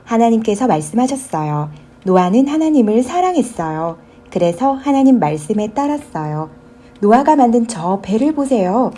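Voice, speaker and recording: A woman narrating scripted Bible stories for small children in a gentle, explanatory way. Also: a little high, big pitch swings, normal speed, slightly rough. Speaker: storyteller.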